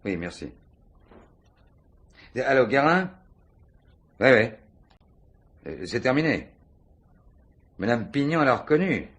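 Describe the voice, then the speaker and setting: A man's voice: medium pitch, big pitch swings, slow, long pauses, fairly bright tone, heavily acted. An actor playing a dogged, falsely casual police commissioner in a French radio mystery, muttering and repeating himself as he probes. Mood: brisk, businesslike. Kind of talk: French radio play acting.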